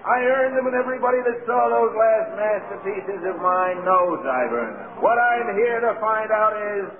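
A bitter, boozy has-been film star in a vintage radio play. His voice is high and sarcastic, heard through a thin, echoey old broadcast. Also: lively intonation, normal speed, phone-quality sound, a crowd in the background. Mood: bitter, drunken. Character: drunken, bitter fading male movie star. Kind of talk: 1930s-40s radio drama acting.

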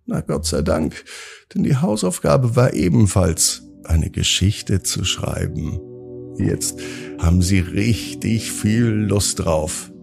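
A man narrating a scripted bedtime story for children, with gentle, drawn-out phrasing and dramatic pauses. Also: on the low side, gravelly. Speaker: children's podcast host and storyteller.

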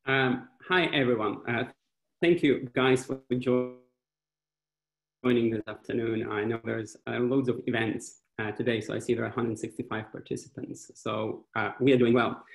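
A man in his thirties answering a panel question over a webinar video call, his voice at an ordinary middle pitch. He talks animatedly, piling up fillers and restarting phrases as he thinks aloud. The laptop mic catches the echo of a small bare room. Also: moderate pace, gravelly. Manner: animated, hand-waving, many fillers and restarts. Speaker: venture fund panelist. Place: small indoor room with wall clock. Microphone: laptop webcam video call.

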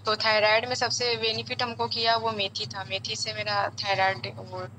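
A woman's voice: medium pitch, flat delivery, normal speed, bright, forceful tone, low-quality recording. A young woman answering questions as a guest on a casual live stream, explaining earnestly with frequent filler words. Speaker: guest sharing her weight-loss experience. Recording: faint background noise on live call.

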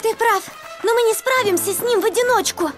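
Very high-pitched, sensible child. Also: female voice, brisk. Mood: earnest, concerned. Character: earnest, knowledgeable young schoolchild, cautious and sensible. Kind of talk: children's cartoon dubbing. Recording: background music under the voice.

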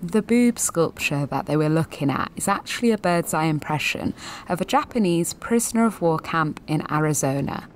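A woman's voice: low-pitched, expressive, moderate pace, a little hiss or hum. An actress in her twenties playing a jaded, sweary, sensitive gallery attendant in a confessional audio drama monologue, wry and self-deprecating. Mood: exasperated. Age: 20s.

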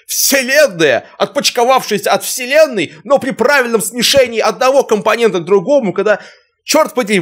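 A young man giving casual reaction commentary in an emotional, exclamatory way. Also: sits high. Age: young adult. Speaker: reaction video host. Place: furnished room at home, indoors.

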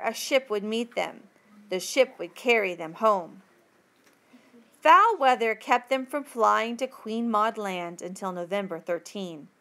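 A woman with a fairly high voice reads a school passage aloud. She goes very slowly, phrasing each part carefully and deliberately, in the style of a teacher reading to learners. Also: pushed and bright. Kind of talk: slow read-aloud of a textbook passage.

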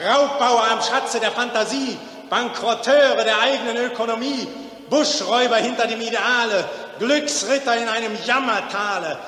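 An actor playing a stern cosmic voice passing doom on mankind in solemn, declaimed verse, in a 1940s radio drama. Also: male voice, very high-pitched, moderate pace, pushed and bright. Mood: indignant, accusing. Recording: echoey, reverberant 1940s radio recording.

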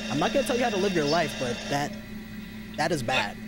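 A man's voice: on the high side, big pitch swings, fast talker. A man streamer giving casual, joking reaction commentary on a horror video.

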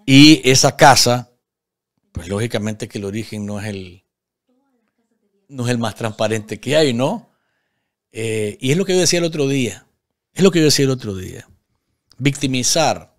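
A man's voice: medium pitch, animated, moderate pace, long pauses. A man in his forties with a Nicaraguan accent, giving live political commentary as a host, emphatic, repeating phrases and asking rhetorical questions. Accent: Nicaraguan Spanish. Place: small indoor studio at a desk. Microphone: desk studio microphone.

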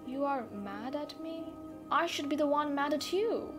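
Actress as unbothered female gamer captive, fairly high voice, playful teasing, intimate audio roleplay, soft music behind. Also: expressive, unhurried. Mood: nonchalant. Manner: playful, nonchalant, gently teasing. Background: background music.

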